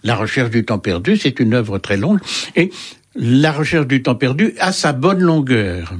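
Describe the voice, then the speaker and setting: A man's voice: medium pitch, very animated, normal speed, slightly rough. An older man, an interviewed writer, answering in a musing, hesitant way with many fillers and restarts.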